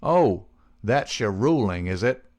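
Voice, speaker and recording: A man's voice: fairly low pitch, lively intonation, unhurried. A man voicing a cruel, jealous, furious gang rival in an audiobook, snarling and confrontational.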